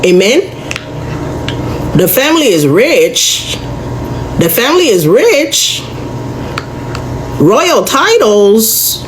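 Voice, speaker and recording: A woman's voice: fairly high pitch, very animated, background noise. A woman giving sarcastic commentary, firing rhetorical questions at a scam victim. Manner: sarcastic, rapid-fire questioning. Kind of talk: reaction commentary video.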